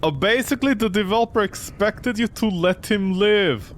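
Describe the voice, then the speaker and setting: A man's voice: high voice, normal speed, a little hiss or hum. A man streaming a game with casual, mocking, exasperated commentary and plenty of swearing. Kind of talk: casual, profane gameplay commentary.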